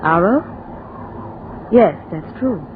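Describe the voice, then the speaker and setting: A woman's voice: fairly low pitch, lively intonation, slow. An actress playing an intense, insistent young rebel woman of the future in a 1940s radio drama. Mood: bitter, brooding. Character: four-hundred-year-old embittered, fanatical young rebel woman of the future. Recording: muffled, noisy old radio recording.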